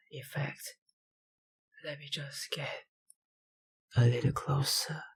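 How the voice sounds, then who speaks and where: Man acting a slow, husky, obsessive vampire. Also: medium pitch, heavily acted. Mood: hungry. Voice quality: husky, breathy, gravelly. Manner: slow, intimate, softly menacing, lapsing into whispers. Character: obsessive, dominant male vampire researcher, hungry and possessive. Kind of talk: ASMR roleplay.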